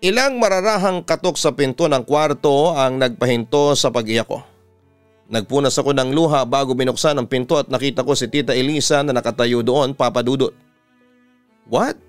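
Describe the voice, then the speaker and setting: A man with a Filipino accent narrating a letter as a radio-style story host, measured and sentimental. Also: medium pitch, clean tone, dry recording. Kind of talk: dramatic narration of a listener's letter. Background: instrumental music.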